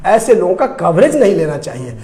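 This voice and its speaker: A man's voice: high-pitched, sing-song, fairly quick, warm tone. A man from India with a Bihari accent, giving impassioned political commentary, emphatic and repetitive, full of rhetorical questions. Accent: Indian, Bihar region. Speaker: debate guest, commentator.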